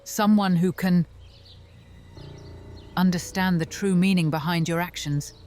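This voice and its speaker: A woman playing an enigmatic vampire, a motherly figure who speaks slowly and deliberately, soothing and persuasive, in a fantasy horror audio drama. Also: fairly low pitch, steady tone, darkish tone, faint music behind, close to an everyday voice. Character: enigmatic, coaxing female vampire, motherly mentor to an orphan.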